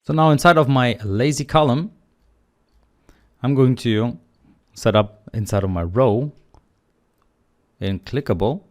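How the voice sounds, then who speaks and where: A man teaching programming in a screencast tutorial, calmly explaining the code step by step in a relaxed, conversational way. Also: mid-range voice, measured pace, long pauses. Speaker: programming instructor.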